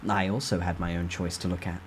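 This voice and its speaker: A man reading a novel aloud as a storyteller, with expressive, theatrical emphasis in a dramatic first-person narration. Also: sits low, fast talker, slight background noise.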